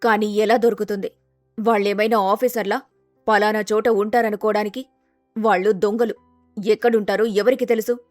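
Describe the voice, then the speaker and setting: A woman voicing a domineering, suspicious middle-aged mother-in-law who speaks in a sharp, scolding, accusing way in a dramatized story. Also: medium pitch, little pitch movement, normal speed, long pauses, no echo, clean studio sound, faint music behind, lightly acted. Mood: exasperated.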